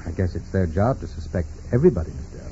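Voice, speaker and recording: An actor playing a polite, deferential private secretary in a 1940s radio drama. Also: male voice, low-pitched, lively intonation, rapid-fire, mellow. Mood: polite, apologetic. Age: 30s. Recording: muffled, noisy 1940s radio recording.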